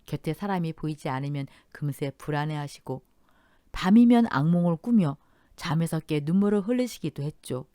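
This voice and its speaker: Woman narrator for a story radio drama, lower register, calm and earnest, dry booth sound. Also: moderate pace, no echo.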